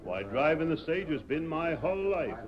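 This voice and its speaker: A folksy, humble old Western stage driver. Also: male voice, a little high, very animated, normal speed. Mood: pleading. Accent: American Western. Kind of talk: 1940s radio western acting. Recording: muffled vintage radio recording with crowd.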